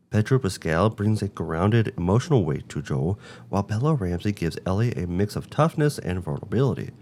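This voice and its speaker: A man with a fairly low voice hosts a review in a semi-scripted narrating style. His intonation moves widely, with emphatic stress on key phrases. A light layer of background noise sits under the recording. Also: dark tone. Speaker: host of a video game and film review show.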